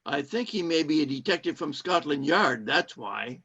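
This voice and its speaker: An actor in a radio play playing a controlling, secretive saboteur who poses as a kindly cinema owner and gives insistent orders. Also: male voice, a little high, expressive, normal speed, a bit bright, slightly rough, exaggerated voice. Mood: guarded, suspicious. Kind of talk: British-set radio play acting. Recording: dull lo-fi streamed audio.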